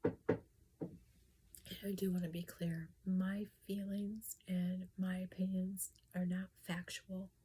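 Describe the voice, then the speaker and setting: A woman talking casually about spirits and grief in a soft whisper, hesitant and full of restarts. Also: fairly low pitch, little pitch movement, slow pace, breathy edge, low-quality recording. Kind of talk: casual personal talk.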